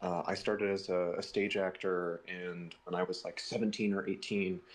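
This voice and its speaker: Male panelist, low voice, earnest and reflective. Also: level tone, moderate pace. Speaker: voice actor on a panel. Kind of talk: casual panel chat.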